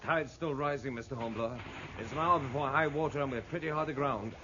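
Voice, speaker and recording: An actor playing a stern, dry British naval lieutenant, curt and commanding, in 1950s radio drama style. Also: male voice, medium pitch, fast talker, sharp tone, close to an everyday voice. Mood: grim. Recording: muffled, faintly noisy vintage radio recording.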